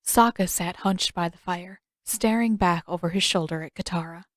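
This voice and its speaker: A woman's voice: lowish voice, normal speed, no echo, clean studio sound. A woman reading scripted fiction aloud as a story narrator. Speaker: audiobook narrator, fan fiction reader.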